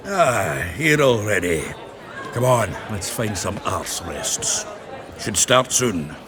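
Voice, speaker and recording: An actor playing a hearty, blunt dwarf in a fantasy game, a raspy voice and a jovial, colloquial manner. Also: male voice, on the low side, big pitch swings, slow pace, a crowd in the background. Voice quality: gravelly, raspy. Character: hearty, blunt, earthy male dwarf friend. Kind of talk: fantasy video game dialogue.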